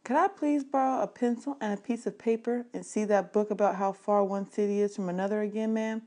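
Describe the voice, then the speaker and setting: A woman's voice: medium pitch, fairly even intonation, a little fast, dark tone, voice pushed up, lightly acted. Polite young boy voiced by a woman. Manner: polite, deferential. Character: polite young boy, earnest and a little shy.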